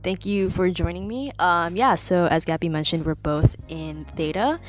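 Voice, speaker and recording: A woman's voice: fairly low pitch, normal speed, soft background music. A young woman from California hosting a casual podcast interview, chatty with lots of ums and likes. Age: young adult. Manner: chatty, many ums and likes, laughs between phrases. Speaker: podcast host.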